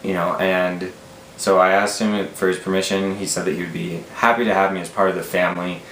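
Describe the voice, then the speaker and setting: Young american guy telling a story to camera, kinda low voice, roomy with faint noise. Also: fairly even intonation, a little fast, fairly bright tone. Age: 20s. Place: small room at home, indoors.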